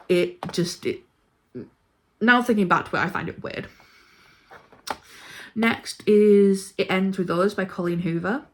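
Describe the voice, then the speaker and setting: A woman chatting casually and informally about books she ranks, with a gushing, emphatic way of talking. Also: medium pitch. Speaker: book reviewer vlogger. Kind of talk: casual chat ranking books.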